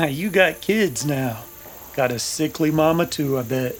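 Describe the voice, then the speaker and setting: Man playing a higher-voiced, sarcastic bounty hunter. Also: lively intonation, a little slow. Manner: dry, mocking, folksy. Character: gruff, sarcastic male bounty hunter on the trail. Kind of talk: old-time Western radio drama acting.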